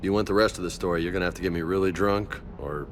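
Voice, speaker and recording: A man plays a young soldier in a science fiction game. His voice is low, with a brash, casual, slangy delivery. A faint engine hum sits behind him. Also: quick pace, lightly acted. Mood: guarded. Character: cocky, easygoing young male space marine. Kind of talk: video game voice acting.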